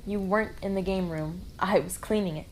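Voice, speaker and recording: A woman's voice: mid-range voice, a little fast, slight background noise, natural voice, poor audio. A woman playing a firm, probing amateur sleuth at a lodge in a puppet show. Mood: accusing. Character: firm, reflective female lodge worker turned sleuth.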